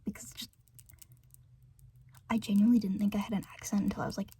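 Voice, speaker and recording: American woman, whispered ASMR rambler; mellow, soft, meandering chatter. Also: medium pitch, flat delivery, fast, husky. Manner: meandering, filler-heavy, giggly chatter. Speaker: ASMR creator.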